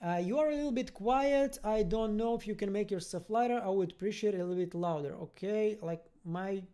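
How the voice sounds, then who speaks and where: Mellow-voiced livestreamer. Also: mid-range voice, clear, resonant tone. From born in Russian-speaking Ukraine, raised from age ten in Israel. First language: Russian. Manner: friendly, rambling, halting, searching for words. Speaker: host of a fan review livestream. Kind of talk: casual livestream chat, reading viewer comments aloud. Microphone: computer-connected microphone on a livestream.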